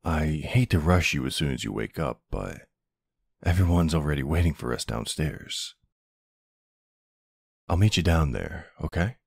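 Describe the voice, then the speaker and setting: A man playing a tender but commanding mafia boss husband in an ASMR roleplay, with a low, gravelly, husky voice. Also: expressive, measured pace, long pauses, dry recording, clean studio sound, heavily acted. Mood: gentle, polite.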